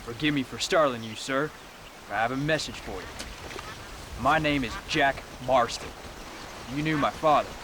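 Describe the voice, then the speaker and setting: An actor playing a bitter, determined young cowboy seeking vengeance in a Western video game. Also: male voice, mid-range voice, normal speed, pauses often, close to an everyday voice. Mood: tense politeness. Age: young adult. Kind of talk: video game dramatic acting. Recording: noisy outdoor ambience behind.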